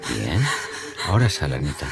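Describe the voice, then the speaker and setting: Polite killer, wildly varied pitch. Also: male voice, sing-song, normal speed, creaky, exaggerated voice. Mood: cold, threatening. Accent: Castilian Spanish. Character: polite young male serial killer, calm and menacing. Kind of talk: Spanish radio drama acting. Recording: music bed under the voice.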